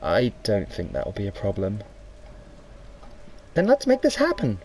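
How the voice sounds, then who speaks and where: A man voicing a cheerful young woman with a lively, upbeat manner in a comic reading. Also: on the low side, measured pace, a little hiss or hum, exaggerated voice. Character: cheerful young woman, Lara's eager friend. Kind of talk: comic book voice acting.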